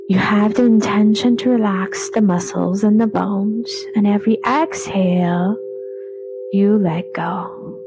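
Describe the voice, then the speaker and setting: A woman leading a guided relaxation meditation in a soothing, unhurried way, with phrases trailing off breathily. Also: medium pitch, background noise. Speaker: yoga nidra meditation guide. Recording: voice over background music.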